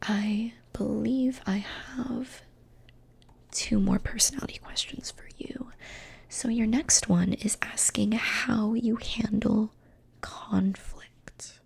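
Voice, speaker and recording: A young woman whispering softly in an ASMR personality-quiz roleplay, slow and soothing, often repeating phrases. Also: mid-range voice, steady tone. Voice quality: breathy. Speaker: ASMR host.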